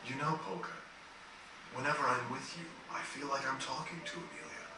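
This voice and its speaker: An actor playing a courteous, thoughtful and quietly resolute gentleman in a video game cutscene, speaking in a formal, measured way. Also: mid-range voice, fairly even intonation, natural voice. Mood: reflective, grateful. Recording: very echoey, distant game capture, loud music.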